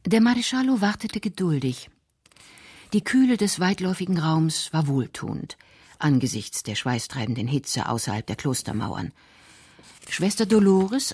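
A woman's voice: sits low, expressive, moderate pace, a little mellow, breathy edge, dry recording, studio quality. A woman narrating a scripted crime story for a radio play in a composed, precise manner.

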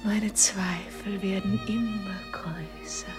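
A woman's voice: mid-range voice, fairly even intonation, slow pace, natural voice. An actress playing a romantic, coy young woman in love, murmuring breathily in a 1950s German radio play. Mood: tender, teasing. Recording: vintage 1950s radio recording, music.

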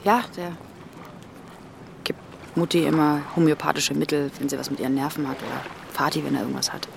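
A woman's voice: deep voice, steady tone, a little fast, gravelly, background noise. An actress playing a distrustful, wounded young German woman in a radio drama, blunt and emotional. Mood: shaken.

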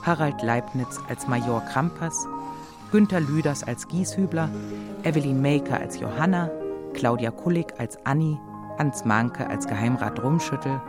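Radio announcer over loud music. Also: medium pitch, moderate pace. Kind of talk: formal reading of cast credits.

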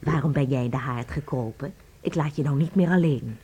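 A woman's voice: rumbling low, a little fast, mellow, breathy edge, exaggerated voice. An actress in a radio play playing a doting wife who coos tenderly and exclaims as she fusses over her husband. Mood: doting, cooing.

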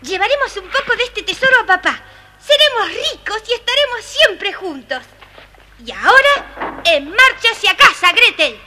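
A woman's voice: very high voice, big pitch swings, moderate pace. A woman voicing a plucky, crafty little boy with a bold, childlike manner on a children's storybook record. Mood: triumphant, eager. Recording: noisy old children's record with clicks.

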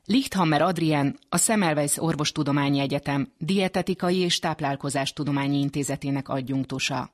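A woman's voice: low voice, few pauses. A woman presenting a radio science programme, reading narration and putting questions. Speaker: radio host and interviewer.

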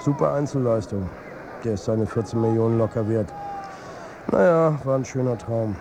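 A man's voice: mid-range voice, moderate pace, noisy background, lightly acted. An actor playing a wry, weary football coach and family man, narrating a radio drama in a colloquial, confiding way. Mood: wry, building hope. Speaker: narrator and protagonist.

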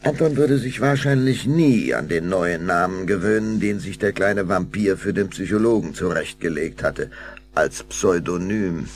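A man's voice: low-pitched, measured pace, slight background noise. A man narrating a children's audio drama in a scripted storytelling style.

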